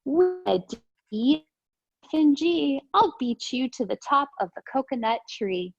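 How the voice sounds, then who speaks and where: A woman teacher reading aloud to young children in an expressive, sing-song, encouraging way. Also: high-pitched, unhurried, creaky, dry recording, highs cut off. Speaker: preschool teacher reading storytime.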